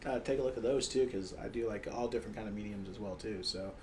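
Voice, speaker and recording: A man's voice: fairly low pitch, fast, darkish tone, a little hiss or hum. A man, an author and podcast host, chatting casually and laughing often as he introduces a live reading of his story.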